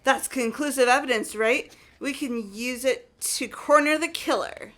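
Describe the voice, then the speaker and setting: Game role: excitable, insistent teen girl female actor, very high voice, drawn-out emphatic delivery. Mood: excited, insistent. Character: excitable, pushy teenage girl jumping to conclusions. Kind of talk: scripted visual novel voice acting.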